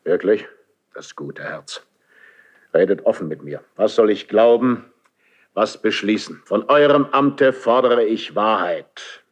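A man's voice: fairly low pitch, lively intonation, slow pace. A man acting a proud, suspicious, lonely king in a 1970s German radio play, with commanding classical declamation. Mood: cold, probing.

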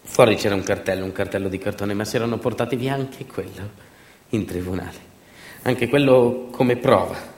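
A man performing a theatrical narrative monologue, emphatic and dramatic, with deliberate repetitions and pauses. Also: lowish voice, darkish tone. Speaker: stage actor and storyteller. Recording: echoey room.

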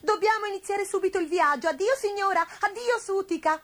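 Woman voicing a polite, high little kitten. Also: brisk, fairly bright tone. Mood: polite, hurried. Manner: polite, earnest.

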